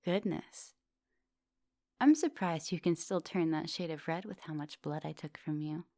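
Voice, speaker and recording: Casting: woman to play a female vampire professor for ASMR roleplay; gentle, hungry seductress, intimate whispers and murmurs, fry-laden voice, very dry close recording. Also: deep voice, measured pace, no echo. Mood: sated, caring. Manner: breathy murmurs and whispers, coaxing pet names. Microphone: close microphone.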